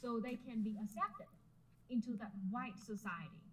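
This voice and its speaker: Vietnamese-accented woman, higher voice, earnest, slight noise behind. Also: very animated, moderate pace, poor audio. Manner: earnest, uses "you know" fillers. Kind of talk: talking to camera about history.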